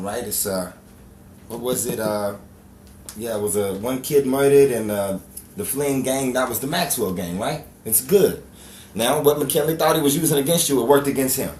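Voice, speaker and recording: A man playing a gruff, blustering, bossy 1930s newspaper editor with hard-boiled slang in an old-time radio drama. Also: medium pitch, moderate pace, darkish tone, natural voice. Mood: brusque, firm. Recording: distant roomy recording with faint noise.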